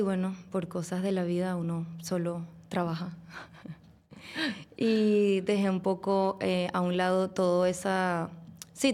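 A woman's voice: medium pitch, a little mellow. A woman, a guest, telling her own faith story in a hesitant, casual way with many fillers. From Venezuela. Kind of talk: casual personal testimony.

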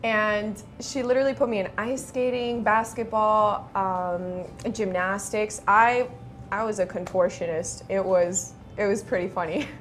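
A woman's voice: mid-range voice, steady tone, measured pace, faint music behind. A woman hosting a casual interview, chatty and encouraging with her guest. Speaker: host interviewing a guest musician.

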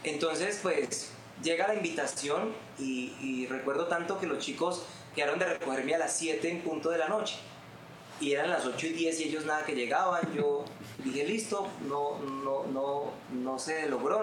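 A man's voice: a little high, normal speed, a bit bright. A man in his twenties with a Colombian accent, a guest telling his faith story in an earnest, heartfelt way. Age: 20s. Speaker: guest musician. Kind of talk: testimony in an interview. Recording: roomy room with background noise.

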